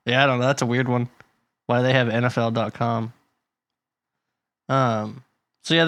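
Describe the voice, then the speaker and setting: Bright-voiced man chatting on a podcast. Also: mid-range voice, clean tone, no room sound. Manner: reads figures aloud, laughs often. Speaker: podcast co-host. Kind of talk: casual chat.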